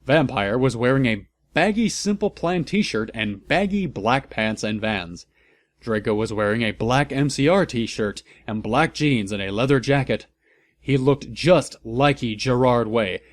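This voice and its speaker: A man giving a comedic, mock-serious dramatic reading as a narrator, with theatrical, deliberate emphasis. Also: medium pitch, lively intonation, no room sound.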